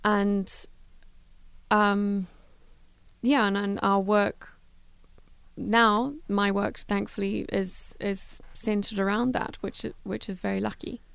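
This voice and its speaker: Flat-toned gravelly woman. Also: mid-range voice, slow pace, no echo. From Zimbabwe. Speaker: guest, travel philanthropy worker. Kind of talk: studio interview. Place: studio.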